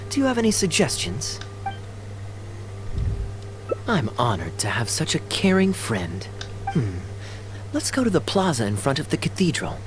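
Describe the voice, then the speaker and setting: An actor voicing a suave, teasing young male knight in a fantasy video game, smooth and playfully eloquent. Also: medium pitch, natural voice. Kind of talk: polished video game character acting. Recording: game dialogue over background music.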